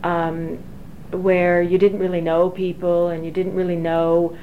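Woman professor in her forties, lowish voice, halting and reflective, dull lo-fi sound, faint hiss. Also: little pitch movement. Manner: hesitant, many fillers and restarts, reflective. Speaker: engineering professor. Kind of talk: oral history interview.

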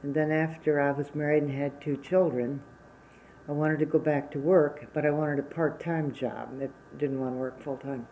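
A woman's voice: low voice, steady tone, normal speed, warm tone, a little hiss or hum, poor audio. A woman in her seventies from Wisconsin whose first language was Czech, recalling her working life plainly and unhurriedly in an oral history interview. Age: 70s.

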